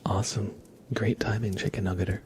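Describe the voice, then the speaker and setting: A man hosting an ASMR livestream, speaking softly and soothingly in a breathy whisper to his viewers. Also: fairly low pitch, flat delivery, fairly quick, background noise. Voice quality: breathy whisper, often unvoiced.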